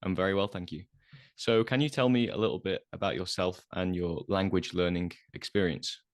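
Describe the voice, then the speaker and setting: Guy with a really low voice hosting a language interview, friendly and clear. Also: steady tone, brisk. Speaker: interview host, English teacher.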